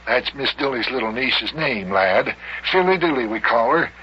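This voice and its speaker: A man's voice: mid-range voice, lively intonation, normal speed, bright, forceful tone, breathy edge. Man playing a folksy old mayor, muffled vintage radio. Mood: gushing, flustered. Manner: rambling, stammering, chuckling, rustic grammar. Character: folksy, bashful old small-town mayor and bridegroom. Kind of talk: old-time radio comedy acting. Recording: dull, noisy vintage radio recording.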